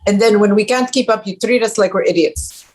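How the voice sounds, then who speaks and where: A woman's voice: medium pitch, fairly quick, a little mellow, lightly acted. A woman acting a stern, devout conservative mother who is easily offended, curt and disapproving, in a comedy table read. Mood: defensive.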